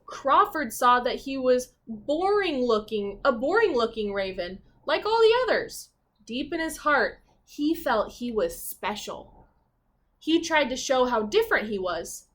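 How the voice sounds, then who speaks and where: Slow, expressive woman reading to children. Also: sits high. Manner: expressive, pausing to comment and question the listener. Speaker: teacher reading aloud. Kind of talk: children's storybook read-aloud.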